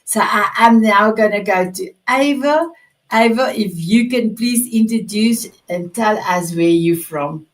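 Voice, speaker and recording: A woman's voice: medium pitch, a little slow, recorded from a distance. Effusive woman hosting a video call show. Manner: effusive, gushing. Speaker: host. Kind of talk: online talk show hosting.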